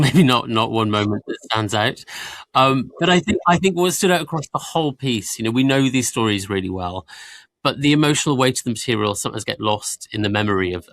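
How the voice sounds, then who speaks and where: A man's voice: on the low side, fairly bright tone, no echo. A man speaking reflectively on a discussion panel, leaning on key words as he talks. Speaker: production team member on a panel.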